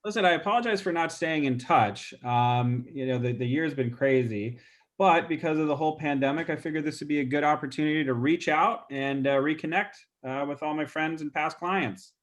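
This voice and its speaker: A man's voice: on the high side, fairly quick. Stuffy-nosed man on a video call. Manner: casual, chatty, many fillers. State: stuffy nose. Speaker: real estate agent demonstrating a call script. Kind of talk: role-play training demo.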